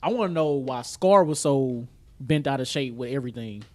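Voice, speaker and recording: A man's voice: medium pitch, expressive, normal speed. An American man co-hosting a podcast, chatting casually with emphatic, slangy phrasing and frequent repetition. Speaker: podcast co-host. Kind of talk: casual chat about film trailers and news.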